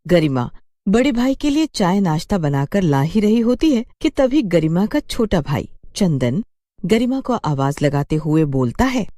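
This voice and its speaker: A woman's voice: lowish voice, very animated, unhurried, mellow, dry recording, studio quality. A woman storyteller reading a scripted story in a dramatized narration style.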